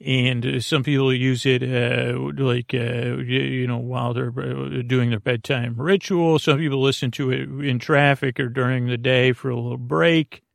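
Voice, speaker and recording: A man hosting a bedtime podcast in a creaky voice, meandering and halting as he loses his thread and circles back. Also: mid-range voice, steady tone, no room sound, clean studio sound. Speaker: podcast host. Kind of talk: rambling bedtime podcast monologue.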